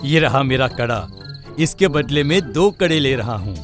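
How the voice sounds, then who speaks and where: A man's voice: medium pitch, lively intonation, soft background music, lightly acted. A man playing a sly, greedy fake swami in a cartoon moral story, speaking in grand, theatrical pronouncements. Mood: pleased, scheming. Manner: slow, theatrical, pompous pronouncements.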